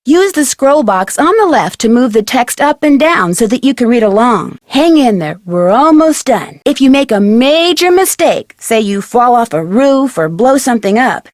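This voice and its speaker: A woman's voice: fairly high pitch, big pitch swings, moderate pace, hardly any pauses, dry recording, clean studio sound. A woman playing a perky, polite, inquisitive young detective in a video game, speaking in a chirpy, articulate, friendly way. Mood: cheerful, encouraging. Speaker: game protagonist and tutorial guide. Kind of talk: scripted video game voice acting.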